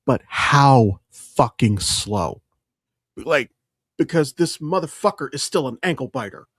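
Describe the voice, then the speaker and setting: A man's voice: mid-range voice, lively intonation, slow, a bit bright, slightly rough, no echo, clean studio sound. A man co-hosting a podcast in casual conversation, blunt and opinionated, swearing freely as he comments. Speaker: podcast co-host. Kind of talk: casual chat, film commentary.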